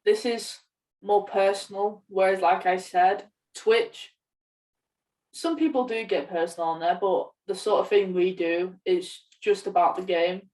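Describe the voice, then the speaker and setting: A woman's voice: medium pitch, fairly even intonation, a little slow, darkish tone. Eighteen-year-old young woman, slightly distant mic, casual chat. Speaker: podcast co-host. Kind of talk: casual chat about gaming.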